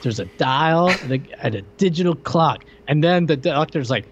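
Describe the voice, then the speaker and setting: A man's voice: fairly high pitch, lively intonation, normal speed, muffled recording, a little hiss or hum. A man co-hosting a podcast in casual chat, animatedly retelling movie scenes. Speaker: podcast co-host.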